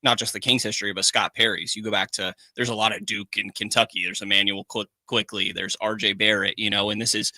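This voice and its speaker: Man, radio co-host, analytical, measured, very bright tone, dry studio sound. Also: fairly low pitch, little pitch movement, no room sound. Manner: measured, analytical, uses fillers like "like". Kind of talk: sports talk radio discussion.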